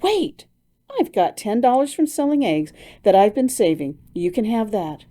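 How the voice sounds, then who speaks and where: A woman's voice: a little high, big pitch swings, moderate pace, dark tone. A woman voicing a kindly older mother who speaks reassuringly.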